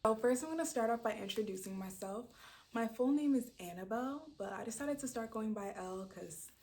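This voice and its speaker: A woman in her early twenties from Brooklyn, New York, chatting casually to camera as she introduces her channel, talking freely with many fillers and sudden jumps in pitch for emphasis. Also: lively intonation, a little fast, darkish tone. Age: 20s. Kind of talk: casual direct-to-camera chat.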